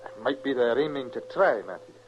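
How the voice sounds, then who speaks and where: A man's voice: medium pitch, brisk, noisy background, lightly acted. An actor playing an earnest, worried frontier townsman in a radio western, with a folksy, hesitant delivery. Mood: hesitant, concerned. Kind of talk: old-time radio western acting. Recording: muffled vintage radio recording.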